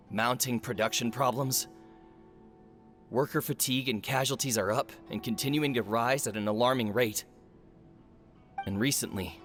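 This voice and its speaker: An actor playing an earnest, grave forge overseer in a video game, solemn, accusing and deliberate as he confronts a traitor. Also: lowish voice, a bit bright. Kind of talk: dramatic video game voice acting. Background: background music.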